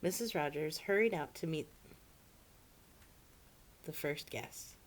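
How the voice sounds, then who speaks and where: A woman reading a children's storybook aloud in an expressive, animated way, as a storyteller for children. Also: deep voice, slow. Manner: expressive, acts out the dialogue.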